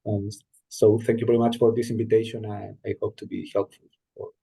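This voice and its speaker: A man from Chile with a Chilean Spanish accent, introducing himself carefully on a video call, with halting restarts. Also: fairly low pitch, level tone, gravelly, dark tone, slightly rough. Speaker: panelist, IP practitioner. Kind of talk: webinar self-introduction.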